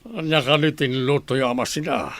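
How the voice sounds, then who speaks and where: A man's voice: medium pitch, fairly even intonation, fairly quick, fairly bright tone, no echo, close to an everyday voice. A man acting a mild, hard-working father in an Ilocano radio comedy drama. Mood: teasing.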